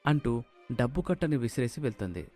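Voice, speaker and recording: A man tells a moral story as scripted narration. His voice sits in a middle range, with soft music playing behind it. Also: mid-range voice, very animated, a little fast.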